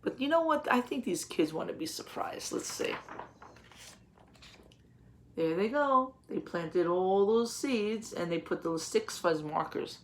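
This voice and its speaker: A woman in her thirties reads a picture book aloud as a teacher for young children. She speaks gently and pauses to ask questions and explain words in simple terms. She is close to a webcam in a small home office. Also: mid-range voice, expressive, a little hiss or hum.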